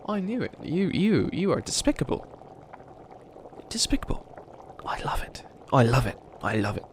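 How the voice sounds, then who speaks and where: A man playing a centuries-old, boastful but bumbling evil witch in an ASMR comedy roleplay, speaking in a theatrical, halting, grandiose way. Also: on the high side, very animated, echoey room. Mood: gleeful, scolding.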